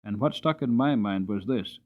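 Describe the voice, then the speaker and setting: A man's voice: lowish voice, normal speed, phone-quality sound, voice pushed down, lightly acted. A man voicing a righteous, spirited young stable lad, earnest and emphatic, in a storybook reading. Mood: indignant recollection.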